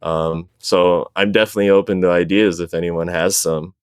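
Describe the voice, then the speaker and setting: Male panel guest, deep and clear, relaxed conversational phrasing full of halting fillers. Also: no room sound, clean studio sound. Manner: halting, filler-heavy with frequent "like". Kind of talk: casual chat.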